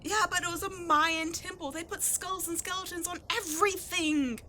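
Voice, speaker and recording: A woman acting in a roleplay audio drama as a brash young tomboy archaeologist with a soft side, animated, slangy and teasing. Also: very high voice, moderate pace, slight background noise. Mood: indignant.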